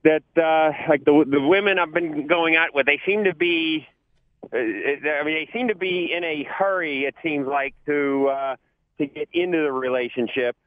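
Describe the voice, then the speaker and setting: A man's voice: on the high side, normal speed, no echo. A man calling in to a radio advice show, hesitant and searching for words. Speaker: caller. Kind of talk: phone call to a radio show. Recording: tinny phone line.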